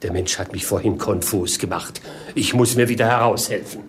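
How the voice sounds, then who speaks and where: An actor playing a muddled, pompous and sentimental old king in a 1950s German radio play, theatrical and husky. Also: fairly low pitch, normal speed, slight background noise, lightly acted. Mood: moved, self-important. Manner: pompous, theatrical, abruptly swooping between musing and exclaiming.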